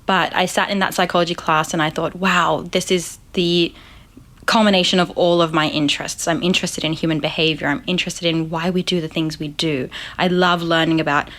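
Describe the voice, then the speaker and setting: A woman's voice: lowish voice, steady tone, fairly quick, a bit bright. A young Australian woman, a psychologist, talking reflectively in a casual interview with frequent ums. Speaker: psychologist guest.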